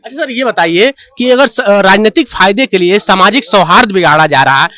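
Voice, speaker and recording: A man's voice: high-pitched, animated, fairly quick, sharp tone. A man working as a reporter, putting questions to people in a vox pop interview.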